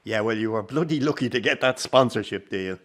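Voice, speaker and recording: A man's voice: on the low side, fairly quick, fairly bright tone, lightly acted. An actor playing an older Irish circus grandfather in a radio drama, plain-spoken and rueful, with a salty turn and a fond heart. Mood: gruff, doubtful. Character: warm, disillusioned old Irish circus grandfather. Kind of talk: naturalistic radio drama acting.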